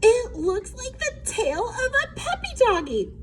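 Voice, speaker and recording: An eager little storybook frog boy, acted out by a woman, high and swooping in pitch. He exclaims and sing-songs his way along, pleading like an excitable child. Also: a little slow, exaggerated voice. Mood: dreamy, singing. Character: excitable young boy frog begging for a puppy.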